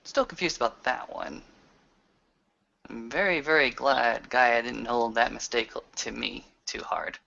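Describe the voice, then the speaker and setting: A man's voice: medium pitch, steady tone, measured pace, vocal fry, bright, forceful tone. A man roleplaying as a player in a tabletop session, hesitant and thinking aloud as he goes. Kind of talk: improvised in-character roleplay. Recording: dull lo-fi recording.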